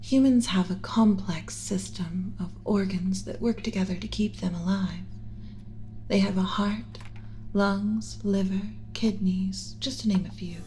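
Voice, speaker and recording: A woman voicing a gentle, motherly alien scientist in an ASMR roleplay, speaking in a soothing, measured, instructional, often whispery way. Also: medium pitch, steady tone, lightly acted. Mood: calm, instructive. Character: gentle motherly alien scientist teaching a class about pet human.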